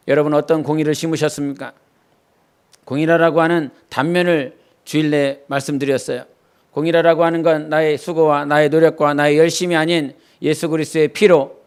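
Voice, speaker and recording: A man's voice: a little high, smooth. A male pastor from the Jeolla region preaching a Wednesday church sermon, moving between earnest teaching and homely stories from his childhood. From Jeolla, South Korea. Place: church sanctuary.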